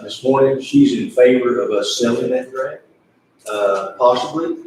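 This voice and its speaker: A man chairing an informal public meeting in a folksy, halting way, repeating himself. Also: medium pitch, a little mellow. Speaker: judge presiding over county commissioners meeting. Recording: echoey meeting room, distant mic, faint noise.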